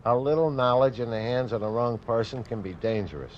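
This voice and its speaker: A man plays a put-upon, lazy husband from a 1950s radio comedy. He grumbles and protests in a dry, whiny way at a middling pitch. The old broadcast sounds muffled, with faint noise. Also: a little fast, lightly acted. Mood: sarcastic, grumbling. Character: grumbling lazy suburban husband, sleepy and sarcastic.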